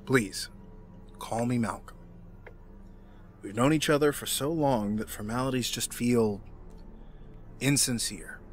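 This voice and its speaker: An actor playing a middle-aged businessman villain in an audio drama, formal, measured and condescending. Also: mid-range voice, faint music behind, natural voice. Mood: nostalgic, genial.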